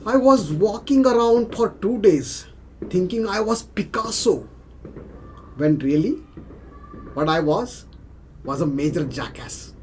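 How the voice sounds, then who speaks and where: A man with an Indian accent playing a self-mocking amateur painter in a measured film monologue. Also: high voice, animated, darkish tone, slight background noise, low-quality recording. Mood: rueful.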